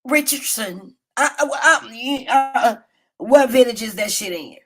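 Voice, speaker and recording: A woman hosting a casual livestream, telling stories in a loud, profane and animated way. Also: takes it slowly, slightly rough. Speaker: livestream host. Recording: low-quality phone stream.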